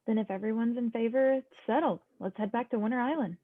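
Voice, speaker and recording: A woman's voice: a little high, quick pace, close to an everyday voice. A woman reading the part of a blunt, decisive teenage girl in an amateur table read. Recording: muffled, phone-like call audio.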